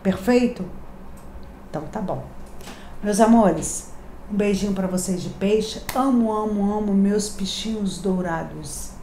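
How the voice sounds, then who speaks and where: A woman's voice: medium pitch, unhurried. A Brazilian woman in her thirties, a tarot reader talking to camera in a chatty, affectionate, confiding way, with emphatic repetitions. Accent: Rio de Janeiro Portuguese. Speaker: tarot reader and channel host. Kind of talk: daily tarot reading to camera. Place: decorated home room, indoors. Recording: echoey room, faint background hiss.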